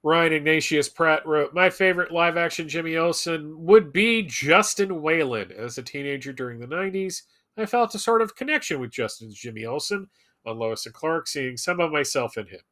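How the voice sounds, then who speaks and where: A man's voice: fairly high pitch, lively intonation, moderate pace, bright, forceful tone. A man co-hosting a live show, chatting casually and reading viewer messages aloud.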